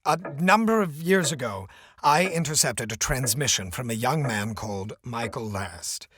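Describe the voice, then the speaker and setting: A man's voice: mid-range voice, lively intonation, a bit bright, a little hiss or hum, close to an everyday voice. Man acting an intense, earnest stranded scientist. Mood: solemn, insistent. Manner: intense, deliberate, formal. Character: earnest, obsessed male scientist stranded in another dimension. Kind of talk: audio drama acting.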